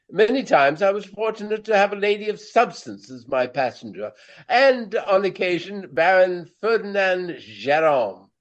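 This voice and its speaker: An actor playing a vain, elderly Regency stage actor with a pompous, florid, courtly manner in a radio play. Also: male voice, a little high, lo-fi sound. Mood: boastful, fond.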